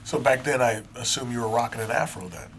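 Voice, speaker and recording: A man hosting a podcast interview, asking relaxed, teasing questions. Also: medium pitch, quick pace, slight background noise. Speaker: podcast host.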